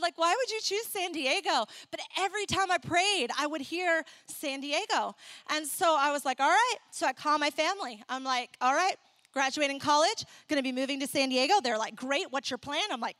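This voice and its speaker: A young adult woman who grew up in Ecuador, preaching and telling her own story in a candid, animated way. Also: sits high, dry recording. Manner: animated, candid storytelling with laughs and acted asides. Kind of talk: sermon with personal story.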